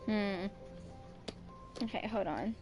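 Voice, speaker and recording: A woman's voice: mid-range voice, slow pace, music in the background. A woman in her twenties chatting casually and informally with stream viewers, rambling as she goes. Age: 20s. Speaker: livestreamer.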